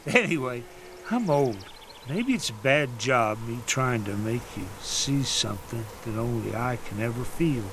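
A man's voice: mid-range voice, animated, unhurried, noisy background. An actor playing an old father in an audio drama, sad and stubborn, with folksy, emphatic talk. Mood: melancholy.

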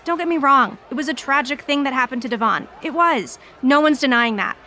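Self-righteous counter-protester, high-pitched, sharp forceful voice, emphatic, indignant, audio drama. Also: female voice, fairly quick. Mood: earnest, defensive. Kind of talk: audio drama street interview.

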